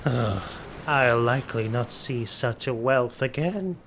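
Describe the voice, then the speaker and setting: Proud, cruel dark elf highborn for a fantasy audiobook, played by a man: sardonic, muttering, unhurried. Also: a little hiss or hum. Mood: bitter. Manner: sardonic, slow muttering delivery.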